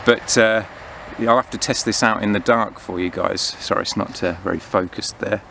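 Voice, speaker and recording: A man's voice: on the low side, steady tone, normal speed, creaky, bright, forceful tone, a little hiss or hum. A man in his thirties chatting casually and unscripted as he reviews gear, recorded outdoors on a camera mic. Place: outdoors in autumn woodland.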